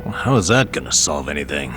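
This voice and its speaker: An actor playing a worried, guilt-ridden, gruff down-and-out man in a video game dub, grumbling dryly to a friend. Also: extremely low pitch, big pitch swings, fast talker, a bit bright, faint music behind, full character voice. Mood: stressed, guilty.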